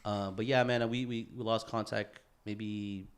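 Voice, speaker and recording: A man chatting casually as a podcast host, telling stories in an animated, slangy way and swearing freely. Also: fairly low pitch, a little slow. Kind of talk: casual chat, storytelling.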